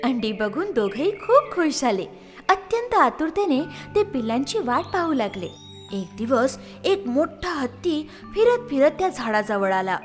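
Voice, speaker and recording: A woman narrating a scripted children's moral story in an expressive, lilting storytelling way. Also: high voice, unhurried, faint music behind.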